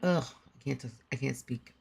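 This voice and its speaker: A woman's voice: extremely low pitch, moderate pace, vocal fry, a little mellow, breathy edge. A young woman streamer who just woke up, groggy and tired, chatting casually with her viewers and laughing often. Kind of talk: casual chat.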